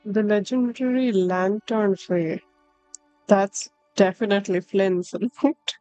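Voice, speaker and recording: A woman's voice: medium pitch, fairly even intonation, slow, dark tone, dry recording, dull audio. A woman streaming a game, thinking aloud in casual, musing reactions. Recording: music under the voice.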